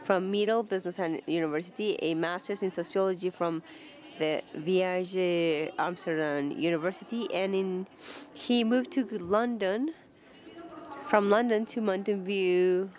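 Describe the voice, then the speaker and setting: A woman presenting at an event, introducing the speaker in a halting way with fillers and restarts. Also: medium pitch.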